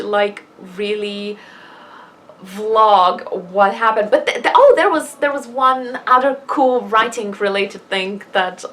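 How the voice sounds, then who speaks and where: A woman's voice: mid-range voice, a bit bright, a little hiss or hum. A woman chatting casually in a personal vlog, candid and self-deprecating, rambling along with emphatic asides. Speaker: vlogger and fiction writer.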